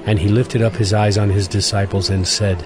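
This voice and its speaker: A man narrating scripture for a dramatized audio Bible in a measured, formal storytelling manner. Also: fairly low pitch, flat delivery, rough recording. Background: music.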